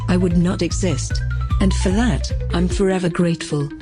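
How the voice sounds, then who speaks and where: A woman's voice: lowish voice, lightly acted. A woman playing a self-promoting, cheerfully smug AI who presents her own podcast in a scripted comedic monologue. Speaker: podcast creator and host.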